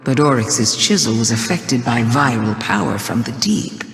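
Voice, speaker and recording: A woman playing an ancient, cunning alien witch queen in a theatrical video game monologue, sly, deliberate, taunting and grandiose. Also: very deep voice, lively intonation. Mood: probing, mysterious. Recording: echoey voice with long cavernous reverb.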